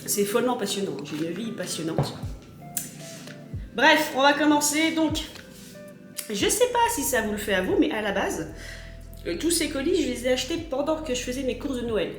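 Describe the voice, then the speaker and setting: A woman's voice: a little high, big pitch swings, normal speed, long pauses, echoey room, soft background music. A woman in her thirties with a cold, chatting and rambling through a casual unboxing in her home kitchen. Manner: chatty, rambling, many fillers. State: stuffy from a cold. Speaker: vlogger.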